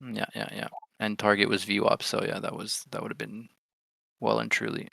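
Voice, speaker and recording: Male stream host, dry close sound, raspy-edged and punchy, excitable live market commentary. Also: fairly low pitch, fairly quick, dry recording. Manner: chatty, excitable, thinks aloud.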